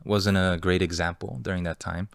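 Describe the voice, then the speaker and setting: A man in his thirties from Los Angeles, a guest entrepreneur telling his story in a relaxed, candid way with many fillers. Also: low-pitched, moderate pace, gravelly, clean tone, dry recording, clean studio sound. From Los Angeles, California. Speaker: guest, entrepreneur and fund manager. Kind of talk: casual interview storytelling.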